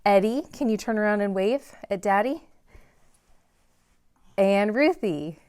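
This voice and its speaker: A woman's voice: mid-range voice, a little mellow, smooth. Woman reading expressively to children. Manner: dramatic, with slowed emphasis on key words. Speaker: read-aloud host in a library. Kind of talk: expressive children's picture book read-aloud. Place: library.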